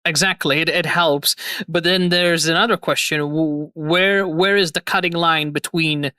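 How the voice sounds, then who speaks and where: A young man in his twenties hosting a relaxed online chat, his voice bright and slightly high. He talks in excited, rambling bursts and laughs a lot. The sound is dry and close. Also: a little slow, no echo. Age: 20s. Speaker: host. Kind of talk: casual chat on a livestream.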